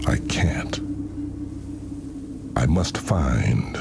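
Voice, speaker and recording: A man playing a weary, haunted alien shipmaster, narrating a motion comic in a solemn, deliberate, brooding manner. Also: rumbling low, lively intonation, vocal fry, very noisy, full character voice. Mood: weary sorrow. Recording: loud music behind the voice. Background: loud music.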